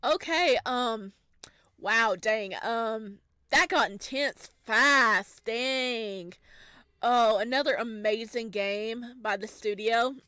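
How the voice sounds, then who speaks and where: A woman's voice: fairly high pitch, slow, clean tone, no echo. A woman gaming YouTuber who reads a horror visual novel aloud expressively and then reacts to it. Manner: expressive, animated reader.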